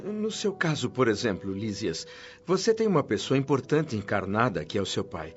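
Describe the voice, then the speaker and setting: A man's voice: mid-range voice, very animated, moderate pace, close to an everyday voice. A Brazilian man playing a homesick newcomer spirit in a radio drama, asking things politely, with anxious, hesitant curiosity. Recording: dull lo-fi audio with music underneath.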